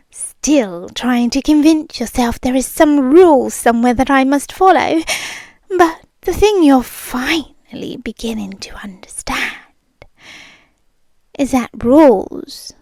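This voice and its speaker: Female actor as a British yandere heiress, obsessive and possessive, unhurried purring whispers. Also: sits high, no echo, exaggerated voice. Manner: soft whispered, slow, purring menace. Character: possessive obsessive rich young woman captor. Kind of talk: ASMR roleplay.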